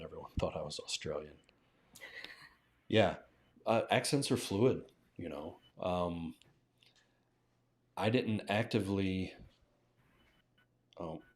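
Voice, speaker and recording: An American man chatting casually as a language teacher on a livestream, thinking aloud and often checking in with a "right?". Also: low-pitched. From southern United States. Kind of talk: casual live-stream discussion.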